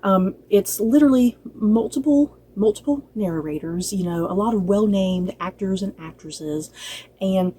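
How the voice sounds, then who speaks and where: A woman's voice: mid-range voice, a little slow, dark tone, a little hiss or hum. A woman giving a casual, unscripted review to viewers as a channel host, chatty and rambling, often circling back to repeat herself.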